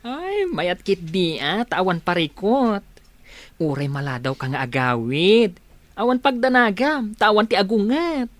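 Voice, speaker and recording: A man's voice: sits high, very animated, measured pace, no echo. Carefree drinking buddy played by a man, swooping intonation. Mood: coaxing, carefree. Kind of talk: radio drama acting.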